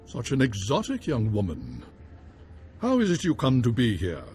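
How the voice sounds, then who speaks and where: Courtly, lively-pitched noble baron. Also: male voice, moderate pace, a little hiss or hum. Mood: gracious, formal. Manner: formal, courtly phrasing. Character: courtly male noble baron, gracious lord of the house. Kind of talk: video game voice acting.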